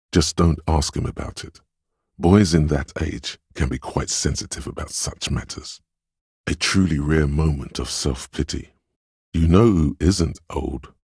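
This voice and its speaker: A man voicing a tender, perceptive inner voice of empathy in a video game, speaking in a measured, intimate, dramatic way with a husky, gravelly tone. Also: very deep voice, darkish tone, no echo, studio quality, exaggerated voice. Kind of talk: scripted game narration, second-person commentary.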